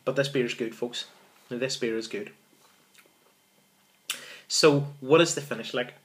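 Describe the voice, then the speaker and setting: A man's voice: mid-range voice, a little slow, a bit bright. Enthusiastic man reviewing a beer at home. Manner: enthusiastic, repeats words, calls viewers folks. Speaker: beer reviewer, channel host. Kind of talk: casual beer review vlog. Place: small room indoors.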